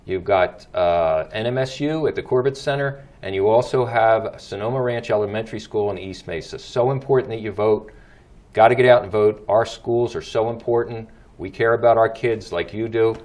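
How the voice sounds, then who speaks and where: A man's voice: on the low side, moderate pace, darkish tone. A middle-aged man hosting a local talk show, emphatic and repeating his points to viewers. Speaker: host.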